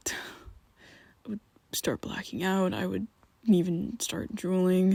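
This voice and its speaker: A man voicing a young male vampire best friend in an ASMR roleplay, whispering softly and haltingly as he confesses. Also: high-pitched, vocal fry, breathy edge, heavily acted. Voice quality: soft close whispering.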